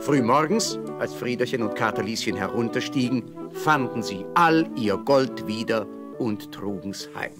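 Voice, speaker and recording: Narrator for children's fairy-tale audio play: man, mid-pitched, scripted storytelling. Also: a little slow, loud music behind the voice.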